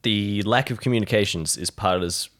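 A man's voice: lowish voice, moderate pace, a bit bright, close to an everyday voice. A man playing a weary, wary survivor in a tabletop roleplay, dry and sardonic with hesitant phrasing. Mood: worried, explaining.

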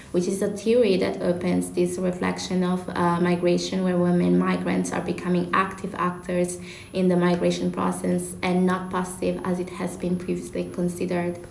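A young woman with an Italian accent hosts a student discussion in a lowish, fairly even voice. She speaks haltingly, tripping over some words. The room is clearly echoey. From Italy. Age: young adult. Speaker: student host. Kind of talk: podcast discussion, semi-prepared presentation. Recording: echoey room with faint noise.

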